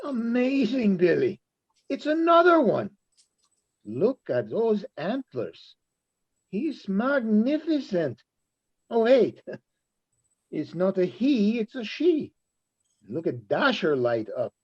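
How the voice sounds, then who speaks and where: A man's voice: high voice, wildly swooping pitch, slow pace, long pauses, breathy edge, highs cut off, full character voice. A man reading a thoughtful, inquisitive traveler in a radio play. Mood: amazed.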